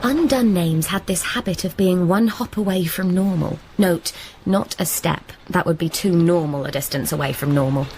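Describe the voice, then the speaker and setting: An actress playing a brisk, dry-witted British woman who narrates and acts in a radio comedy drama. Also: fairly low pitch, slight background noise, lightly acted. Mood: wry narration. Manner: deadpan, wordplay-loving, assertive. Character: brisk, dry-witted, self-appointed guardian of London, narrating. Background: music.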